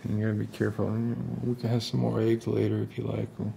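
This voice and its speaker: A man in his forties playing an unstable post-apocalyptic survivor in an ASMR roleplay, coaxing softly in halting, rambling phrases. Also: fairly low pitch, completely flat delivery, gravelly, slight background noise. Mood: muted, matter-of-fact.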